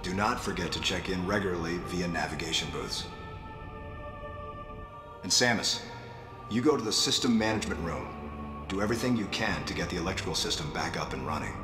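A deep-voiced American military commander, played by a male actor, stern and measured in a video game briefing. He gives orders in a clipped, formal way. His voice sits in a roomy echo with music behind it. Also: natural voice. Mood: firm, formal.

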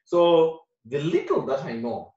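Earnest man, recorded from afar. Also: unhurried. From Zimbabwe. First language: Shona. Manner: earnest, repeats phrases, animated rises in pitch and loudness. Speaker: actor being interviewed. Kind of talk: casual interview talk. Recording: roomy distant dull sound.

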